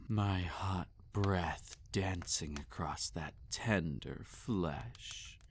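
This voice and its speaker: A man playing a possessive, sadistic vampire master in an intimate roleplay audio, teasing and domineering, often slipping into breathy whispers. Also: low-pitched, very slow, slight background noise, exaggerated voice. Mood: seductive, teasing.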